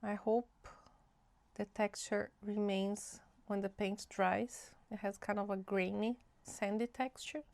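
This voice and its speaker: A woman hosting a casual watercolor tutorial, a bit nasal from a cold, talking in an unhurried, chatty way as she thinks aloud. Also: mid-range voice, little pitch movement, gravelly, darkish tone. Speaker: art channel host.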